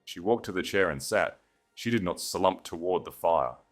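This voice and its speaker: A man narrating dramatic fiction from a script in a measured, solemn manner. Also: low-pitched, lively intonation, soft background music. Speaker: audiobook narrator.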